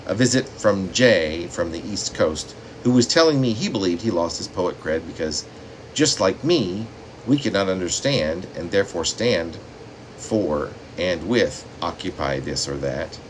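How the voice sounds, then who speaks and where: Male poet, very deep register, reads in measured dramatic phrases, faint room noise behind him. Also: rough recording. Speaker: poet reading own work. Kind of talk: poetry reading with spoken asides. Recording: steady room noise, air conditioning hum.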